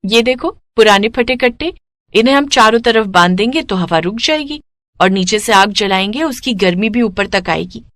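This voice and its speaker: A woman's voice: medium pitch, animated, normal speed, fairly bright tone, dry recording, studio quality, natural voice. A woman voicing a resourceful, hopeful young daughter, earnest and persuasive, in a cartoon story. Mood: reassuring.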